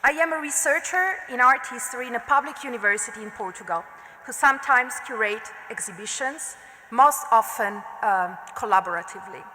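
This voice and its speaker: A woman with an Italian accent, a non-native speaker who is an art history researcher, reads a prepared academic lecture at a conference in a measured, deliberate way. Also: fairly high pitch, animated, pushed and bright. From Italy. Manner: measured, deliberate delivery with occasional slips and fillers. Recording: long reverb of a lecture hall.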